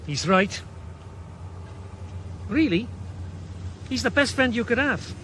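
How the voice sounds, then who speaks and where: A man's voice: very high voice, expressive, slow, fairly bright tone, slight background noise, heavily acted. An actor playing a detective's cheeky, chirpy male sidekick in a radio drama. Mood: wry. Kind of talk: 1980s radio drama acting.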